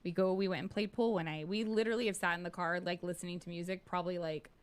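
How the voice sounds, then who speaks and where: A woman's voice: on the low side, steady tone, fast, clear, resonant tone. A woman in her forties chatting casually and candidly as a podcast co-host, swearing freely. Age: 40s. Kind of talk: casual chat.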